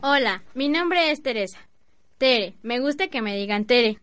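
Woman doing vain mexican teen girl in radio soap, highish crisp clear voice, pleased with herself. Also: lively intonation, measured pace, fairly bright tone. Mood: chirpy. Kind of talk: radio drama acting.

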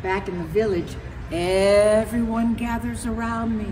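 High-voiced woman reading a storybook dramatically. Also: flat delivery, unhurried, background noise. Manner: dramatic, emphatic. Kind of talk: expressive storybook narration. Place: large indoor market hall. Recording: echoey hall, music behind.

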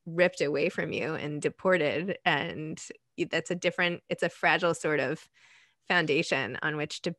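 A woman's voice: deep voice, moderate pace, fairly bright tone, no room sound, studio quality. A woman in her forties moderating an author interview over a video call from her home library, chatty, building long lead-in questions. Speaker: moderator host. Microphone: video call webcam.

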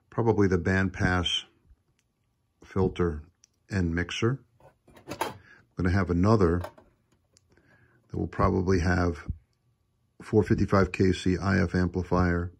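A man with a gravelly, husky voice giving a casual, enthusiastic, unscripted show-and-tell as a hobby builder at a workshop bench. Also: low voice, darkish tone. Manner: enthusiastic, admiring. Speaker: hobby radio builder presenting own project. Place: indoor home workshop at a workbench.

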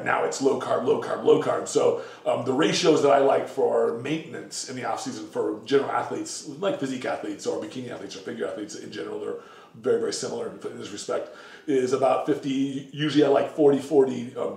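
A middle-aged diet coach explaining nutrition in a rambling, casual way, full of fillers and restarts. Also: male voice, mid-range voice, creaky, darkish tone. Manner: rambling, many fillers and self-corrections. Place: home kitchen, indoors. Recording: echoey kitchen, mic at a distance.

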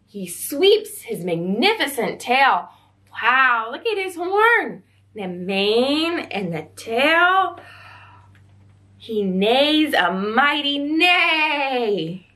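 A young woman reads a picture book to children in an expressive, wondering way. Her voice is bright and swoops with animation, and she takes her time, often pausing to ask questions. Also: a little high. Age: young adult. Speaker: children's library storyteller. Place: small indoor room.

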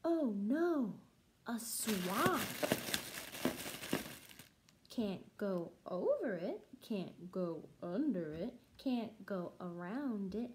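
Slow, sing-song young woman reading to children. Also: medium pitch, sing-song, a little mellow, slight background noise. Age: young adult. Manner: sing-song chanting, rhythmic and deliberate. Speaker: music teacher reading a story aloud. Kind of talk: children's picture book read-aloud. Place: small room at home, indoors.

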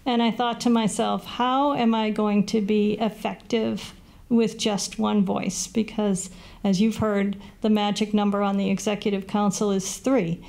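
Woman, 60s, political candidate, gravelly voice, earnest and measured, table mic, small meeting room. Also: mid-range voice, level tone, darkish tone. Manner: earnest, measured, with a brief laugh at running out of time. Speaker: political candidate, incumbent councilor. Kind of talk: forum closing statement. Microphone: table microphone on a stand.

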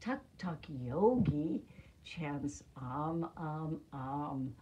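A woman's voice: deep voice, lo-fi sound. Older woman reading expressively to young children. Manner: deliberate, expressive, simple phrasing for young children. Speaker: preschool teacher reading to children. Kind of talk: children's read-aloud storytelling. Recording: noisy amateur room recording with clicks.

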